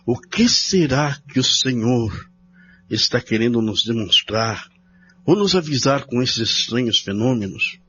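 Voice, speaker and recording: An actor playing a troubled, pious pastor in a radio drama, solemn and questioning. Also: male voice, medium pitch, lively intonation, measured pace, a bit bright. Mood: troubled, wondering. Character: troubled, pious pastor wondering at divine signs. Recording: dull lo-fi audio with noise.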